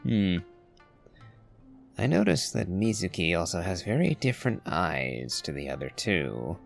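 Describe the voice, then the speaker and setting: A man comments casually over a video game in a low, creaky voice. He talks slowly and thoughtfully, with game music in the background. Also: sing-song. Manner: measured, musing. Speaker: game streamer.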